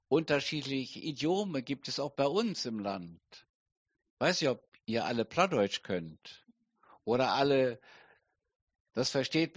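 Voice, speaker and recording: Slow, deliberate preacher. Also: male voice, medium pitch, dry recording, muffled recording. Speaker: pastor. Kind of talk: sermon.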